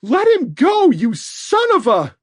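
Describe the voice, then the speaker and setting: A man voicing a desperate young mother with a hoarse voice who shouts in panic. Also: very high voice, big pitch swings, slow pace, no room sound, studio quality, voice pushed up, heavily acted. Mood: frantic. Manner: shouting, frantic.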